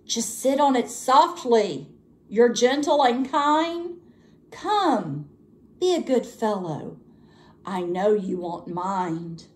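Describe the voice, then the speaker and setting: Woman acting a lazy, whiny bird, very animated. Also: on the high side, slow pace. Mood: coaxing, pleading. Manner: complaining, coaxing, sing-song.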